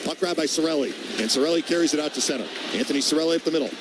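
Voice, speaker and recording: Higher-voiced man calling hockey over noisy arena. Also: racing. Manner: clipped running calls in short phrases. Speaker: play-by-play radio commentator. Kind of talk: live ice hockey radio commentary.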